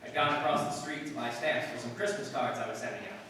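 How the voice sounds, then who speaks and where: An actor playing a dry, laconic police detective sergeant in a radio drama, with clipped, deadpan delivery. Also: male voice, mid-range voice, quick pace, close to an everyday voice. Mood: matter-of-fact narrating. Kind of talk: 1950s radio police drama acting, scripted narration. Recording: distant, echoey hall with long reverb, hiss.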